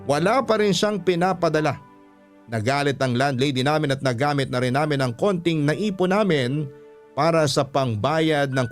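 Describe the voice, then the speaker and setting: A man with a Filipino accent giving scripted narration as the storyteller of a classic-style radio drama. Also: a little high. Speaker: storyteller and host. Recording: music behind the voice.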